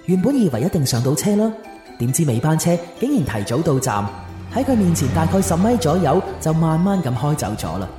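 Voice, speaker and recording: Male narrator for a romance radio play, measured storytelling with lively swings, faint music underneath. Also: on the high side. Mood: dramatic storytelling. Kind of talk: scripted radio drama narration. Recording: roomy reverb, faint music bed.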